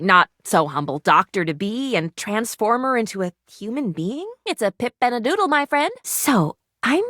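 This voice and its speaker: Eager, high-voiced, quirky young lady doctor. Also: fairly bright tone, no room sound, clean studio sound, exaggerated voice. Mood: delighted. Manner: theatrical, gushing, old-fashioned whimsical wording. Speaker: voice actress in a dating game. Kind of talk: animated video game character acting.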